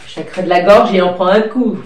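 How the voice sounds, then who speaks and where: A woman reading tarot cards in a rambling, hesitant way with many fillers, stuffy from a cold and coughing now and then, talking fondly to her cat and child. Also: lowish voice. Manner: affectionate, talks to her pet and child. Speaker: tarot card reader. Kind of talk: casual card-reading chat. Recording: echoey room, distant mic, light noise.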